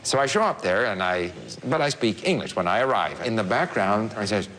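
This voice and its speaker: A man with a fairly low voice answers questions casually, telling stories in a chatty, animated way. A faint background hum sits under his speech. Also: brisk, low-quality recording. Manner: anecdotal, chatty, laughs while telling stories. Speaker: interviewee, language learner.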